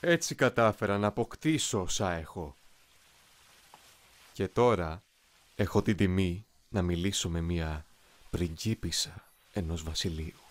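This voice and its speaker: A man playing a young, eloquent, coolly ambitious soldier in an anime fan dub, speaking in a formal, measured, philosophical way. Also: low-pitched, close to an everyday voice. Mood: cool, resolute. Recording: music behind the voice.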